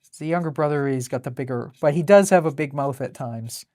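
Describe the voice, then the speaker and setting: A woman with a low contralto voice hosts a casual live drawing stream. She rambles in an easy conversational style, often interrupting herself mid-thought. The sound is dry, with very little room echo. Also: brisk, dark tone. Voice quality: deep contralto voice. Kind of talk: casual chat while drawing.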